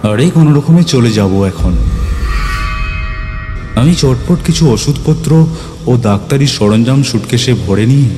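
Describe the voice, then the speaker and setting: A man playing an earnest, caring village doctor who narrates his own ghost story in a dramatic, expressive way. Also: mid-range voice, moderate pace, pauses often, natural voice. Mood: suspenseful narration. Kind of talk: dramatic first-person horror story narration. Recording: loud music behind the voice. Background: music.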